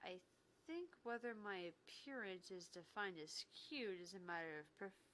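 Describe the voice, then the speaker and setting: A woman's voice: mid-range voice, animated, normal speed, a bit bright, a little hiss or hum, lightly acted. A woman voicing a serious young female inspector in a visual novel, polite and formal in her phrasing. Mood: formal, earnest.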